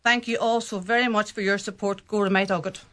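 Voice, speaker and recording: Earnest bright-voiced Donegal woman candidate. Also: medium pitch, fairly even intonation, fast. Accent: Irish, Donegal. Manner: earnest, emphatic, repeats phrases when answering. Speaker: local election candidate. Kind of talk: campaign pitch and panel discussion.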